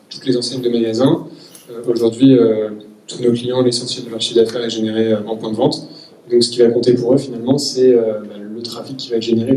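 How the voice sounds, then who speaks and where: A man with a mellow tone answers in a measured way, pausing on many fillers. His voice carries the echo of a room and sounds distant from the mic. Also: medium pitch. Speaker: panelist, company executive. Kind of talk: round-table discussion. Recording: echoey room, far from the mic.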